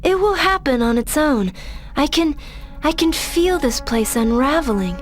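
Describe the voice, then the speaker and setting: High-voiced, earnest young mystic. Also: female voice, animated, measured pace, faint music behind. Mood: earnest wonder. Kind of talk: video game voice acting.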